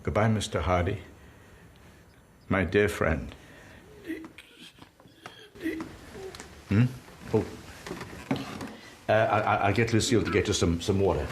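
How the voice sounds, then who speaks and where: An actor playing an elderly, gentle comedian in a drama, speaking haltingly with stammers and repeated words. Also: male voice, sits low, background noise, close to an everyday voice. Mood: flustered, worried. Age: older. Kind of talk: radio-play style screen drama acting.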